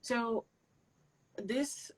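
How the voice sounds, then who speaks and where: A woman's voice: on the high side, very slow. A young woman from Cameroon whose first language is not English, giving earnest and direct advice as an interview guest. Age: young adult. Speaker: interview guest, PhD student.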